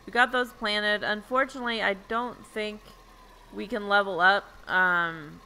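Young woman streamer on headset mic, chatty and giggly, bright tone with gravelly fry. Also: medium pitch, fairly even intonation, unhurried, slight background noise, rough recording. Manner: chatty, giggly, thinking aloud. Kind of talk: casual gameplay chat. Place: home room indoors.

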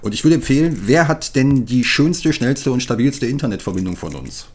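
A man in his thirties hosting a casual gaming video from a home desk, animated and jokey, swearing when flustered. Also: mid-range voice, normal speed. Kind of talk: casual gaming chat with friends.

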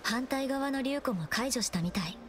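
Calm young female wanderer, music behind. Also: high-pitched. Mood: calm, focused. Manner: measured, composed, quietly musing. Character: young female wanderer, calm, observant puzzle-solving explorer. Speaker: game protagonist. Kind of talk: scripted game voice acting, thinking aloud. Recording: game music over the voice. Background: game music.

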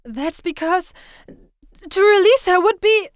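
A woman's voice: very high-pitched, expressive, unhurried, no echo, studio quality. A woman voicing an earnest, caring young girl trainer with an emotional, pleading manner, in dramatic anime style. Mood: upset, scolding.

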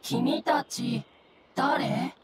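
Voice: medium pitch, very slow, loud music behind the voice. An actor voicing a small, innocent mushroom creature in a video game, speaking in broken single words. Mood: halting, earnest. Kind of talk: video game character acting.

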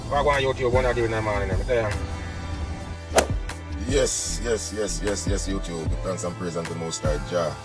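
A man's voice: fairly low pitch, fairly even intonation, fairly quick, over loud music. A man in his forties with a Jamaican accent, a truck driver talking casually to his phone with devout, repetitive Rastafarian phrasing. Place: in and around truck cabs and roadside. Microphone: handheld phone at arm's length.

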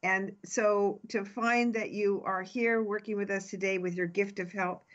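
A woman's voice: mid-range voice, steady tone, measured pace, fairly bright tone. A woman radio host with a mid-range voice introduces her guest in an upbeat, scripted way, with small slips now and then. The sound is slightly dull and lo-fi.

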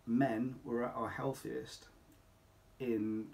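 A middle-aged man speaking as a clinic doctor, explaining to camera in an emphatic, deliberate way with rhetorical questions. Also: mid-range voice, little pitch movement, gravelly, a little mellow. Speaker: doctor at a men's health clinic. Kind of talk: informal explainer talk to camera. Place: small home office or consulting room, indoors.

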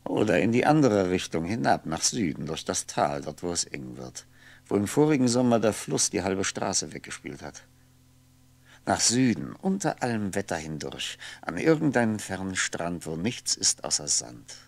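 A sleepless, solitary ageing man in an old radio play. His deep voice creaks as he broods, halting and musing drily to himself. He sounds worn out and wry. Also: very animated, no room sound, full character voice. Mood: tired, reflective. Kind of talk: 1960s German radio drama monologue, introspective.

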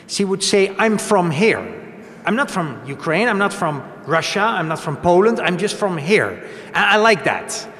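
A middle-aged man from the Netherlands with a Dutch accent, a guest on a live talk show stage, explaining with many pauses, fillers and tag questions like "right?" into a handheld mic. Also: high voice, fairly bright tone. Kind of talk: onstage talk show conversation. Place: small gallery stage before an audience. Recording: echoey hall with long reverb.

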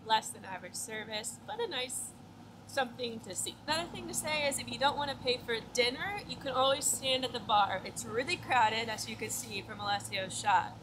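A woman in her thirties chatting casually as she reviews restaurants on camera, laughing often and giving frank ratings. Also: on the high side. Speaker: travel vlogger. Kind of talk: casual food review chat. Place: busy city streets and restaurants, indoors and out. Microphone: handheld camera at arm's length. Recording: loud street crowd and traffic noise. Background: crowd chatter and traffic.

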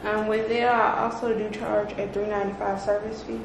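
A woman's voice: medium pitch, flat delivery, brisk. Blunt woman, distant in an echoey room. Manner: blunt, self-assured. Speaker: hairstylist and makeup artist. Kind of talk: casual chat. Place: small room indoors, off camera. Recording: distant echoey mic, clearly noisy room.

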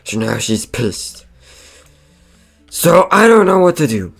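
A man's voice: mid-range voice, fairly even intonation, a little slow, creaky, soft background music. Man as a bored animatronic pirate fox. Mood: glum. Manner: pirate exclamations, hesitant.

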